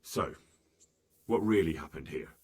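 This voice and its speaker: An actor playing an earnest young squire in a video game, questioning people and pressing them for the truth. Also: male voice, extremely low pitch, lively intonation, a little slow, a little mellow. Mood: probing. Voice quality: probing, insistent. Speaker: protagonist questioning witnesses. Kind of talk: video game cutscene acting.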